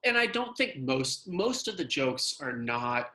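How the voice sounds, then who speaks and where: Man, mid pitch, bright forceful tone, casual, thoughtful, hesitant. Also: sing-song, measured pace. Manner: thoughtful, hesitant, thinking aloud. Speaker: cast member in a production meeting. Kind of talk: casual discussion.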